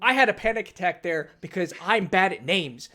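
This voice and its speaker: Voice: mid-range voice, lively intonation, normal speed, pushed and bright. A dungeon master hosting a casual tabletop game stream, joking and self-deprecating, often stammering and restarting. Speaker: dungeon master and show host.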